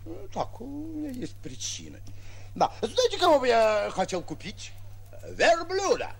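An actor playing a boastful, naively awestruck provincial lion hunter in a 1940s radio play, theatrical and flustered. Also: male voice, very high voice, wildly swooping pitch, a little slow, gravelly, breathy edge, exaggerated voice. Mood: excited. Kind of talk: 1940s stage-style radio acting. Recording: muffled vintage 1940s radio recording.

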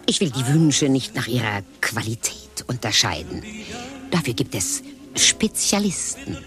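A dry, mocking seer with a low voice that swings up and down as she speaks. She talks slowly over music in a radio drama, deliberate and knowing. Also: sing-song, fairly bright tone, heavily acted. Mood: measured, wry. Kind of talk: radio play acting. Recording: radio play with music behind.

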